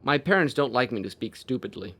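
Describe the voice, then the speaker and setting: A man's voice: mid-range voice, a little fast, breathy edge. A man voicing a plain, impassive village boy in a fantasy story, polite, literal and familiar. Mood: unimpressed, polite. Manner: flat, respectful but familiar, unimpressed.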